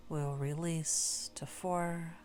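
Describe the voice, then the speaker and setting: Slow, low-voiced woman guiding a meditation. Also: fairly even intonation, soft background music. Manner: gentle, unhurried, phrases broken by long pauses. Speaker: guided meditation host. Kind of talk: slow guided meditation narration.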